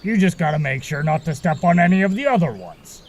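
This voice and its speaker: A man's voice: a little high, expressive, fairly quick, slight background noise, close to an everyday voice. A man voicing a carefree, cagey marsh fairy guide in an audio drama, talking in a breezy, casual way. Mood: explaining, assured. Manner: breezy, casual, a bit evasive.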